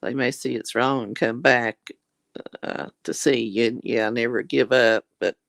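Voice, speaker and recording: Slow, gravelly woman losing her voice. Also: extremely low pitch, steady tone, no echo. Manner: folksy, calls viewers honey and darlings; religious, often says Lord have mercy. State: losing her voice, clearing her throat. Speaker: vlogger reading viewer comments. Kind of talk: casual live chat.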